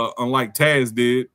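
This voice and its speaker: A man in his thirties talks loosely at a middle pitch, with a relaxed slangy flow. He laughs a lot and slips into quick impressions of people. It sounds like a casual home podcast. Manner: slangy, laid-back, laughs often, mimics people. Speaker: podcast host. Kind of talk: casual live-stream chat reading comments.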